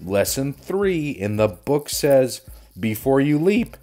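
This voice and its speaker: Man as an eager, higher-pitched little bear cub. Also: big pitch swings, slow pace, mellow, soft background music. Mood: eager, cautioning.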